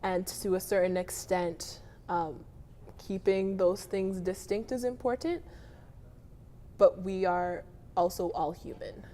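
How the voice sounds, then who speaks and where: A woman from a family from Nigeria, giving thoughtful, measured interview answers. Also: on the low side, steady tone, mellow.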